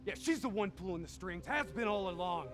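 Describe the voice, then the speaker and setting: Volatile high-pitched game soldier. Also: male voice, animated, a little fast, over music. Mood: angry, sarcastic. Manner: sarcastic, swearing, forceful. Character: embittered, volatile, combative male soldier. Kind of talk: dramatic video game voice acting.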